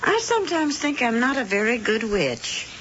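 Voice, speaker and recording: An actress playing an elderly witch and doting wife, gentle and fussing, in a 1970s radio drama. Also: on the high side, sing-song, moderate pace, a little hiss or hum. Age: older. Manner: gentle, fussing, sweetly motherly. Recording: dull lo-fi old radio broadcast.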